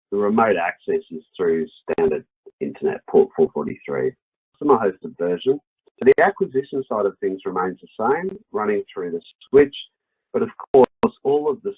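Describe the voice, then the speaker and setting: Low, husky Australian man presenting. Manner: explanatory, conversational. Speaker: company product presenter. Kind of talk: webinar product walkthrough. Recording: dull, lo-fi webinar audio.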